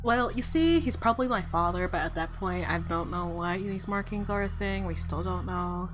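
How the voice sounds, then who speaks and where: A woman's voice: fairly low pitch, normal speed, music in the background. Playful, giggly woman gamer. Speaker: game streamer. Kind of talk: casual let's play commentary with read-aloud narration.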